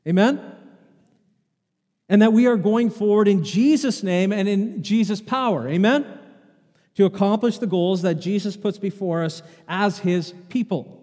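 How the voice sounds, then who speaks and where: A man's voice: sits high, unhurried, a little mellow. A man preaching a sermon as pastor, chatting with the congregation and throwing out rhetorical questions. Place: church sanctuary. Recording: long hall reverb in a church.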